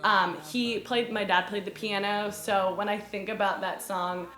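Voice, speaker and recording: A woman's voice: medium pitch, fairly even intonation, unhurried, a bit bright. A young adult woman, a podcast guest and singer, chatting casually and laughing often. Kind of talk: casual chat. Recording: roomy room with faint music.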